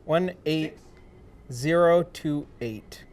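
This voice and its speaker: A woman's voice: low-pitched, drawn-out words, a little mellow. A woman chatting casually while playing a tabletop role-playing game. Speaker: player.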